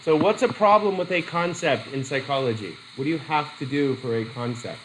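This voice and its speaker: A middle-aged man with a cold teaching a psychology methods class, halting and restarting as he questions students in a whiteboard classroom. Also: on the high side, background noise. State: has a cold. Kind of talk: classroom lecture.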